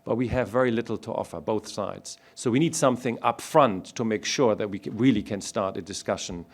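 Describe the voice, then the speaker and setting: A man from Germany, speaking as a panelist in a public discussion and making his points one by one in a measured way. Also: fairly low pitch.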